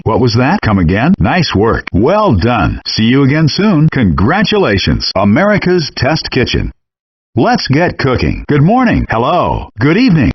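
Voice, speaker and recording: A very animated friendly chef guide for a cooking game, with a husky edge to his voice. He gives scripted instructions in an encouraging, clearly worded way. The sound is dry and a bit dull, like compressed handheld game audio. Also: mid-range voice, no echo. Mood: cheerful, encouraging.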